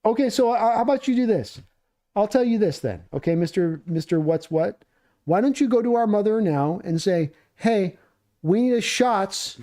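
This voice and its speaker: Male livestream host, notably high voice, rambling and needling, loose conversational delivery. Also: lively intonation, moderate pace, warm tone. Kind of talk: casual banter on a live sports watch stream.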